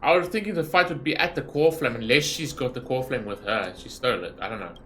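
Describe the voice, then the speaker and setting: Man, bright forward voice, medium pitch, casual gaming commentary, rambling and informal. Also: expressive, fast, a little hiss or hum. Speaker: gaming YouTuber. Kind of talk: casual game reaction commentary.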